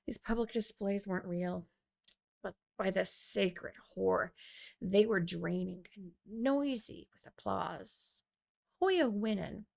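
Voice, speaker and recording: Female audiobook narrator, mid pitch, noticeably creaky voice, scripted fiction read. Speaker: author reading own audiobook.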